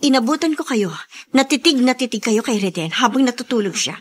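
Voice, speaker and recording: An actress playing an anguished, suspicious young wife in a melodramatic Filipino radio drama, with a tearful, emphatic manner. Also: female voice, on the high side, lively intonation, brisk, breathy edge. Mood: suspicious confrontation.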